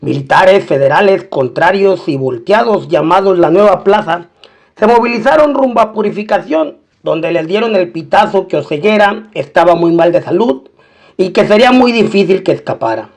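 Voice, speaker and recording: A man's voice: sits high, expressive, moderate pace. A man narrating a scripted documentary-style crime story, mixing in colloquial slang as he tells it.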